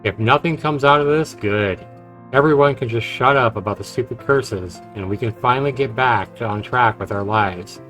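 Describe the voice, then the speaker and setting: A man's voice: mid-range voice, normal speed, fairly bright tone. A man streaming a game, reading story text aloud and adding casual commentary. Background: game music.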